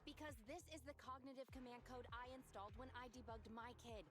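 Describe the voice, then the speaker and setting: A woman's voice: a little high, brisk, close to an everyday voice. A woman playing a bold, determined, commanding heroine in an anime-style game. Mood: firm. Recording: music behind the voice.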